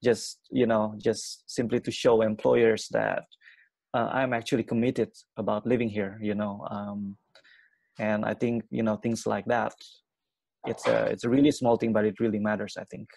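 Young indonesian guy on a video call, low fry in his voice, talks fast with lots of you know. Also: level tone, a little mellow, no echo. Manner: quick, lots of fillers and restarts. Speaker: interviewee, English teacher. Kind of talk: casual interview answers. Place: small room at home.

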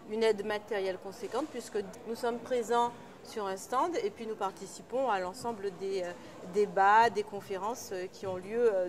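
A middle-aged woman official gives an interview at an exhibition, speaking formally with frequent fillers. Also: medium pitch. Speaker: local council official. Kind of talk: on-camera interview. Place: indoor exhibition hall.

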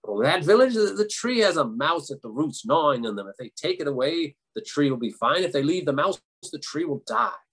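A man voicing a loud, irritable devil in a live-told folktale, exclaiming in exasperation. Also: a little high, very animated, brisk, hardly any pauses, husky, heavily acted, rough recording. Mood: irritated, exasperated. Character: devil, gruff irritable grandson, screaming and grumbling.

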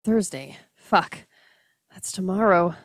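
A woman's voice: lowish voice, lively intonation, slow pace, slight background noise. A woman playing a bossy, flirtatious widow in an audio drama. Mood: bossy, coaxing. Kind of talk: podcast audio drama acting.

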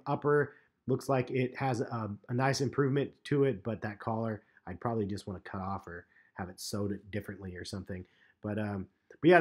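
Laid back sneaker vlogger guy, ums and uhs, just chatting to the camera. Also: medium pitch. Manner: relaxed, chatty, filler-heavy reviewing. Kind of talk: casual vlog commentary. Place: parked car, and voice-over on store footage.

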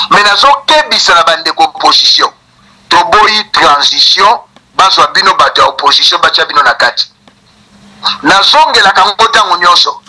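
Middle-aged Congolese man, Congolese French accent, political commentator, high and very bright voice, slightly tinny phone-like sound. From Congo (Kinshasa). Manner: emphatic, repetitive, scornful. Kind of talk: political monologue mixing French and Lingala.

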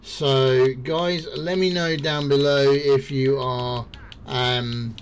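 Slow, relaxed gaming narrator. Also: male voice, medium pitch, slight background noise. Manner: relaxed, enthusiastic, many fillers. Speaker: gaming YouTuber. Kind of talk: game build tutorial voice-over.

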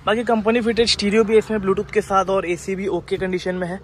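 A man's voice: high voice, fairly even intonation, a little fast. A man giving an unscripted car sales walk-around, talking persuasively and dropping in English terms. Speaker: used-car dealer presenting a car. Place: outdoors by a roadside lot and inside a car. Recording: clear outdoor ambient and vehicle noise.

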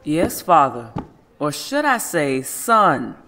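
A woman's voice: low voice, big pitch swings, slow, fairly bright tone, soft background music, full character voice. An actress playing an elderly, devout mother, tender and worried, speaking solemnly and coaxingly in an audio drama. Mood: warm pride.

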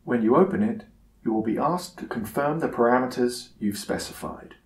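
A man giving a scripted software tutorial narration in a measured, instructional manner. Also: mid-range voice, lively intonation, roomy sound.

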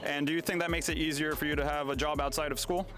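A young man hosting street interviews on a college campus, asking brisk, upbeat questions into a handheld mic. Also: fairly high pitch, little pitch movement, music in the background. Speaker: interviewer and host.

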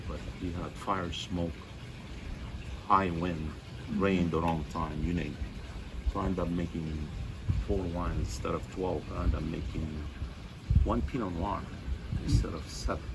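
A Lebanese-born winemaker in his sixties with a very low voice, talking casually to a group of visitors. He rambles through asides and jokes about himself. The recording is an outdoor phone clip from a few meters off, with clear background noise. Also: fairly even intonation, measured pace, long pauses. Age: 60s. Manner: plain-spoken, self-mocking, rambling with asides. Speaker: winemaker hosting a tasting. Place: outdoor patio of a hillside winery. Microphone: phone camera a few meters away.

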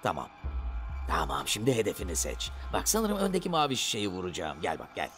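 An actor playing a pushy, impatient street-tough gangster in dubbed film dialogue. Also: male voice, deep voice, huge pitch swings, brisk, fairly bright tone, full character voice. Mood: cocky, instructing. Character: bossy, impatient male gangster teaching a robot to shoot. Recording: film soundtrack with music behind.

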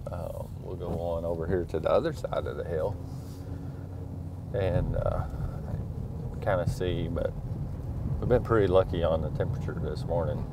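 Low-voiced man talking while driving. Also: moderate pace, pauses often. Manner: relaxed, thinking aloud with many fillers. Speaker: school superintendent. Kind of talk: casual narration while driving. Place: inside a truck at night. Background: faint car engine and road noise.

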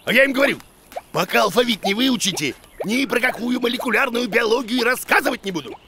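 A man voicing an excitable, grumbling, absent-minded bearded teacher creature in a children's cartoon, muttering and stammering theatrically. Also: extremely high pitch, quick pace, noisy background.

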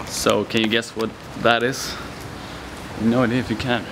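A man's voice: medium pitch, level tone, normal speed, noisy background. A man in his twenties chatting casually to a camera as he vlogs outdoors. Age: 20s. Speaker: vlogger. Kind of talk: casual vlog chat to camera. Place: mostly outdoors on streets and by eateries. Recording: music laid over the voice. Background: loud music, vehicles.

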